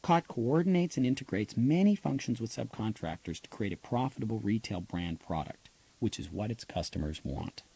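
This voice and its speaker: A man narrates a nonfiction audiobook in a mellow voice with a noticeable creak. His delivery is scripted and explanatory, laid out point by point. The sound is dry and close, with no room to it. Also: on the low side, lively intonation, moderate pace, few pauses, no echo. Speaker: author reading own audiobook.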